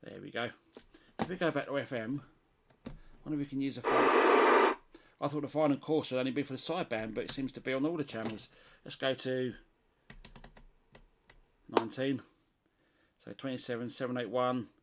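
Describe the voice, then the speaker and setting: A man's voice: mid-range voice, fairly even intonation, moderate pace, long pauses, background noise. A middle-aged British man giving a casual hands-on demonstration, thinking aloud as he goes. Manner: unscripted, thinking aloud while testing. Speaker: CB radio hobbyist presenter.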